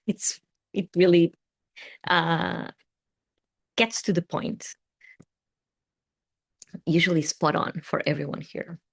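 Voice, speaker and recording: A woman with a Portuguese accent speaks English, her second language, in a fairly low voice. She hosts a live tarot and meditation stream, answering comments in a gentle, soothing way, and her sentences often trail off softly. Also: fairly even intonation, slow, creaky, long pauses, husky. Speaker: tarot reader and meditation host.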